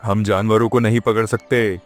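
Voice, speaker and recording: A man's voice: fairly low pitch, little pitch movement, normal speed, faint music behind, lightly acted. A man playing a weary, exasperated village police station chief who grumbles sarcastically in a children's cartoon. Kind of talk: children's cartoon moral story acting.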